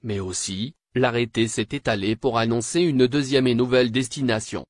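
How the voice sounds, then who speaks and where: A man's voice: mid-range voice, steady tone, normal speed, dry recording. A man narrating scripted news in a formal way, reading written copy as a voice-over.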